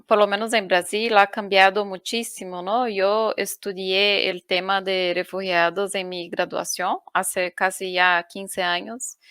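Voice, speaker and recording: A Brazilian woman speaks Spanish slowly on a video call, pausing to search for words and often asking "¿no?" as she goes. Her voice is smooth and clear, and the recording is dry and close. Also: mid-range voice, fairly bright tone, no echo. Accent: Brazilian Portuguese. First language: Portuguese. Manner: unhurried, searching for words, frequent "¿no?". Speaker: UN refugee agency panelist. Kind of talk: online forum presentation.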